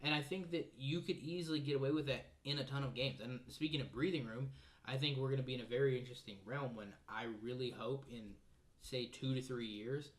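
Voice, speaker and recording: Quick-talking man in casual banter. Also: mid-range voice. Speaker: podcast co-host. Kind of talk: casual round-table gaming chat.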